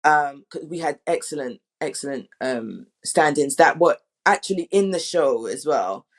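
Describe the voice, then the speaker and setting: A woman's voice: sits low, lively intonation, moderate pace. Casual woman director thinking aloud. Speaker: audio drama director. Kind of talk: casual panel talk.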